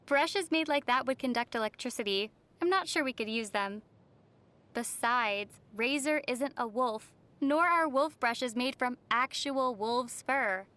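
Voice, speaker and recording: A woman voicing a young female traveler in a video game, calm and playfully teasing, with wry delivery. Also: fairly high pitch, measured pace. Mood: matter-of-fact.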